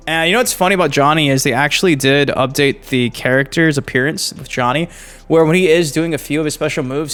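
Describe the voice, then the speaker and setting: Hyped gamer dude talking over clips, kinda high voice, swears a lot. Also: male voice. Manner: excitable, swears casually. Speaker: gaming YouTube host. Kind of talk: casual commentary on game clips.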